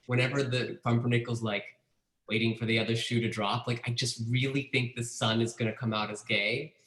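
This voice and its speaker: A man's voice: mid-range voice, flat delivery, fairly quick, a bit bright. Guy chatting on zoom, says like a lot, roomy echo. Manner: chatty, heavy use of "like". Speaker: audience member. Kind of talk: casual Zoom discussion.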